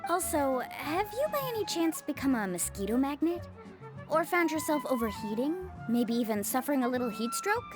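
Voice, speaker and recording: Cheery young game shopkeeper and guide, high pitch, animated intonation, chatty, reassuring. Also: female voice, normal speed, over music. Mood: friendly, persuasive. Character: friendly, upbeat young woman island guide and shopkeeper. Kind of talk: video game voice acting.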